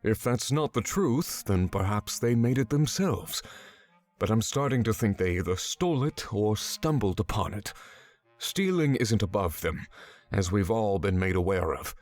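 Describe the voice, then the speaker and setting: An actor playing a stern, exacting swordsman mentor in a fantasy visual novel game, giving measured, commanding instruction. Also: lowish voice, lively intonation, a little mellow. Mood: measured, guiding. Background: background music.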